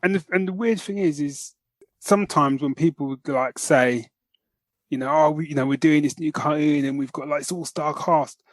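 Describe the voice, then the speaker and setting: Higher-pitched British man chatting casually. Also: moderate pace, no echo. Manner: animated, slangy, often addresses listener as man. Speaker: podcast host. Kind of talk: casual chat, enthusiastic review.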